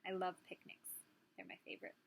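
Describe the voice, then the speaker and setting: A woman's voice: lowish voice, sing-song, quick pace, gravelly, a little hiss or hum. A woman, a preschool teacher, reading a picture book aloud to young children and prompting them with questions.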